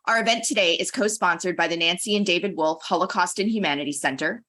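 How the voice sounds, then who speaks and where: A woman's voice: fairly low pitch, sharp tone. Woman reading a formal welcome. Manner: measured, reads from a script. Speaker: center director introducing a speaker. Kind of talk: formal read introduction. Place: online webinar.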